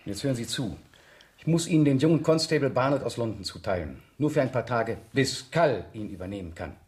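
An actor playing a stern, fussy police superior in a radio crime drama, commanding, formal and sarcastic. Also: male voice, medium pitch, lively intonation, fairly quick, a little mellow, natural voice. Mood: stern, admonishing. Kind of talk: 1970s German radio crime drama acting.

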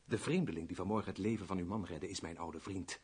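An actor playing a curt, formal and impatient gentleman major in a radio play, with a husky voice. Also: low-pitched, fast. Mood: insistent, piqued.

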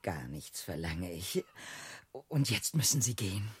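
An actress in a radio play playing a weary housebound wife who is wistful and coy, with a breathy voice that often drops to a whisper. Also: female voice, a bass voice, big pitch swings, measured pace, heavily acted. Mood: coy, resisting. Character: housebound, weary wife daydreaming of courtship and adventure. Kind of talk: radio play acting, intimate monologue and dialogue.

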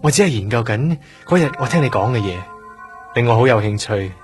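A man with a Hong Kong accent playing an earnest, curious young trainee doctor in a radio drama, explaining things in a measured way. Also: medium pitch, expressive, music in the background, natural voice. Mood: earnest, thoughtful. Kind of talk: Cantonese radio drama acting, explanatory dialogue.

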